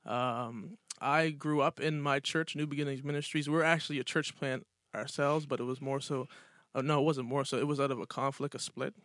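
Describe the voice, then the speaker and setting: A man's voice: mid-range voice, brisk, hardly any pauses, no room sound, studio quality. A man in his thirties from Nova Scotia, a pastor and podcast guest, telling his story in a friendly, easygoing way. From Nova Scotia, Canada. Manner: friendly, storytelling, lively when joking. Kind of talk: casual round-table chat.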